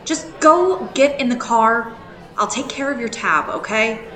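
Blunt, bright-voiced woman in echoey room. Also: fairly high pitch, lively intonation, measured pace. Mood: exasperated, firm. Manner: blunt, commanding, sarcastic. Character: stern, suspicious, protective woman friend. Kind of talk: modern audio drama acting.

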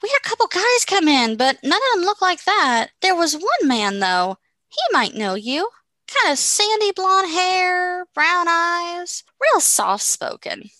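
A woman's voice: very high-pitched, big pitch swings, a little slow, pushed and bright, no room sound, exaggerated voice. A woman playing a friendly, chatty barista in a radio drama, with a folksy manner.

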